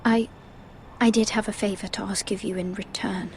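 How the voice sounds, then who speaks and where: Actress as an earnest, deferential young handmaiden. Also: female voice, mid-range voice, fairly even intonation, normal speed, a little hiss or hum, close to an everyday voice. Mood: anxious, pleading. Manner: polite, careful, pleading. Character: earnest young noble handmaiden, deferential, anxious for her family. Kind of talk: cinematic video game voice acting.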